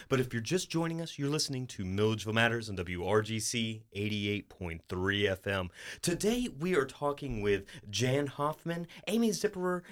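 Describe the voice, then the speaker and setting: A man hosting a local radio interview show, giving announcements from a studio. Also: mid-range voice.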